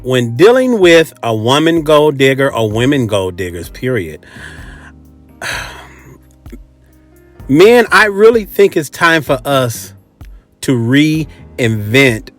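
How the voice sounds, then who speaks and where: An American man hosting a casual, opinionated monologue, speaking bluntly and emphatically with swearing and repeated phrases. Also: mid-range voice. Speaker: host. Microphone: close microphone.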